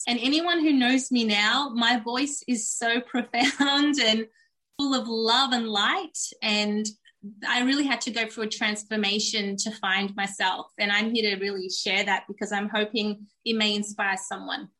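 Bright, earnest woman. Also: on the high side, fairly even intonation, unhurried. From Australia. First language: Turkish. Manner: earnest, reflective, open. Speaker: guest. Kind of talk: candid personal storytelling in a podcast interview.

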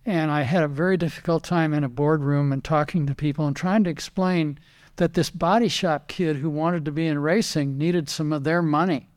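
Older male guest, slightly higher voice, lively anecdotes, repeats words as he talks. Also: moderate pace. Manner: animated anecdotes, quoting others, repeats words. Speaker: guest, retired racing driver. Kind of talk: casual storytelling interview.

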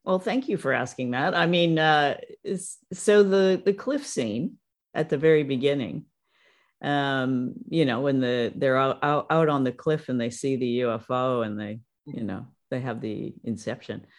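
A man, a voice actor, talking casually in an interview, modest and halting, with many restarts and fillers. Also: medium pitch. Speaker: voice actor guest.

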